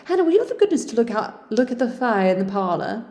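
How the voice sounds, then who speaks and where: A woman's voice: on the high side, big pitch swings, fast, dark tone, roomy sound, voice pushed up. A woman voicing two refined, studious young sisters in a storybook reading, with well-spoken dialogue. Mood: polite.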